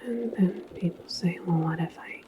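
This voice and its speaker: A woman whispering softly in an ASMR sci-fi roleplay as a calm, musing, reassuring station attendant. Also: on the low side, normal speed, lo-fi sound, noisy background. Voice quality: soft, breathy whisper. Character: calm, philosophical attendant at a futuristic portal station.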